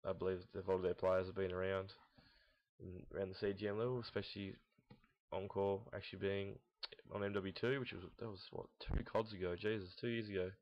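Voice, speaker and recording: A young man with a deep voice talks through casual gaming commentary in a flat, barely varying tone. He rambles and halts, speaking bluntly and off the cuff. The recording is dull and low in fidelity. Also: a little fast. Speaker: host of a gaming team audio log. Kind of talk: casual unscripted commentary on esports team predictions. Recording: dull lo-fi, roomy echo, mouse clicks.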